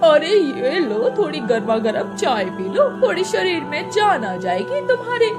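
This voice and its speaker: A woman's voice: high voice, very animated, unhurried. A woman voicing a caring, outspoken, indignant village wife who fusses, in a moral story. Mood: concerned. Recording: loud music bed under voice.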